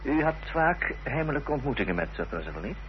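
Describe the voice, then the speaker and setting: An actor playing a polite, persistent investigator in a Dutch radio play, with formal, probing questions. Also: male voice, medium pitch, sing-song, quick pace. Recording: dull old radio recording with hiss and hum.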